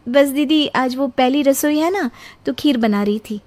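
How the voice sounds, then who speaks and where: A woman's voice: high-pitched, a little slow, darkish tone. A woman voicing a young, carefree bride who exclaims dramatically and is easily overwhelmed, in a Hindi cartoon story. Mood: polite. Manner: exclaiming, melodramatic.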